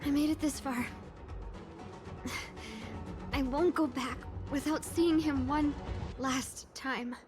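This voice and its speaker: High, slow, weary young nurse. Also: female voice, fairly even intonation, music in the background. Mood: weak, determined.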